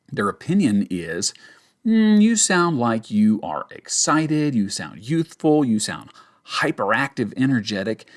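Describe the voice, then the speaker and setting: A man who works as a voice actor, teaching in a casual, conversational and emphatic way with demonstrations. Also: fairly low pitch. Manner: emphatic, conversational, checks in with "okay?". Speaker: voice actor giving a tutorial. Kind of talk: casual instructional talk with demonstrations.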